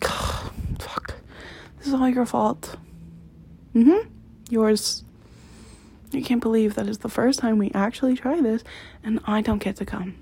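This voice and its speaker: A woman whispering close and low in an intimate roleplay, her voice very airy and breathy. She talks slowly with a mellow, dark tone sitting a little high in pitch. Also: steady tone.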